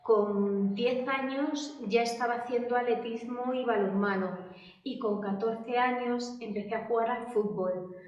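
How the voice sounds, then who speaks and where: A middle-aged woman, recalling her life for an oral history interview. She hesitates often, full of fillers and restarts, in a fairly high voice. She sounds far from the mic in a very echoey room, with a dull, muffled tone. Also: fairly even intonation, measured pace, a little mellow. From Madrid, Spain.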